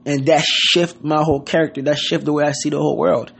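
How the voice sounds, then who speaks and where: A man's voice: on the high side, level tone, fast talker, creaky, fairly bright tone, slightly rough. A man from Haiti giving an earnest motivational talk, loosely worded and full of "you know what I mean.". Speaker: podcast host. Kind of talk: casual motivational monologue.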